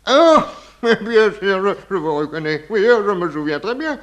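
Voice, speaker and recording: An actor playing a fussy, pompous, doddering gentleman lodger, stammering and mannered, in a 1960s French radio detective comedy. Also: high-pitched, very animated, unhurried, exaggerated voice. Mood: amused, reminiscing.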